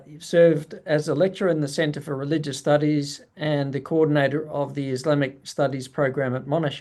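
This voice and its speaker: A male host speaks over a video call with a slightly raised pitch. He introduces his guest and asks questions in a friendly, hesitant way, full of pauses and fillers. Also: darkish tone. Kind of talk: interview hosting.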